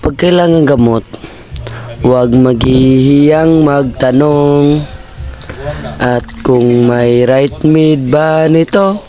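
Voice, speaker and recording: Slow, mellow Filipino man in advert. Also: mid-range voice, fairly even intonation, soft background music. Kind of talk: scripted advertisement.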